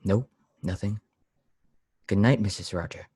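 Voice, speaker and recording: A man playing a strange, pious and weary lodger in a radio play, solemn, deliberate and brusque. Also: on the low side, dark tone, husky, no room sound, studio quality. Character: strange, weary, severe pious lodger, brooding and easily angered. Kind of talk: old-time radio play acting.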